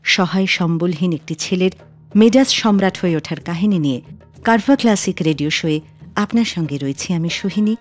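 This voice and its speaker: A woman's voice: fairly low pitch, moderate pace. A woman presents a music radio show in scripted narration.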